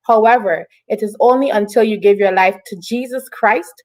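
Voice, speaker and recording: A woman's voice: medium pitch, moderate pace, poor audio. Woman host on an online chat show, upbeat and encouraging, intros and questions. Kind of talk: casual interview hosting with read-out introductions.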